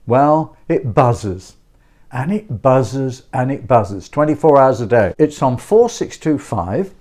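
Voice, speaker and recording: A man's voice: mid-range voice, animated, takes it slowly, darkish tone. A man presenting an informal hobby explainer as a video host, chatty, speaking in short repeated phrases and posing questions to the viewer. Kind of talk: informal explainer to camera about a radio hobby.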